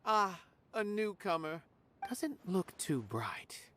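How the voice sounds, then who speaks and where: Snooty philosophical art snob woman, lowish voice, really animated, game music faint behind. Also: takes it slowly, a little hiss or hum, exaggerated voice. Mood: appraising, dismissive. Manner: condescending, musing, theatrical. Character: aloof, haughty theater connoisseur, philosophical and judgmental. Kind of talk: anime game voice acting.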